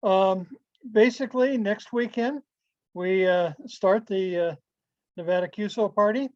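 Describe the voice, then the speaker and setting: Guy doing an informal club talk on a video call, lots of halting pauses, dry lofi sound. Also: sits high, darkish tone, no room sound. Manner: halting, pausing delivery. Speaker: club presenter. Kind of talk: informal slide presentation over video call. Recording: dull, lo-fi video call audio.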